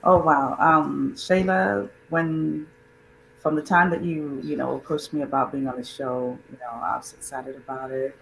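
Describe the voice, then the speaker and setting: A man's voice: a little high, fairly even intonation, normal speed, vocal fry, a bit bright, slight background noise, rough recording. A middle-aged man from Nigeria introducing himself as a guest, rambling with many fillers and restarts. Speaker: guest on an online show. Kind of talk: casual self-introduction.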